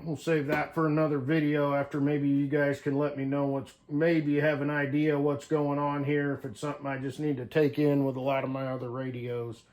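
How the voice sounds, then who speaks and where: A man's voice: on the high side, flat delivery, fairly quick, hardly any pauses, a little mellow, lo-fi sound. A man talking casually to viewers in a folksy, unscripted way, thinking aloud as he tinkers through a hands-on demonstration. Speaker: hobbyist CB radio vlogger.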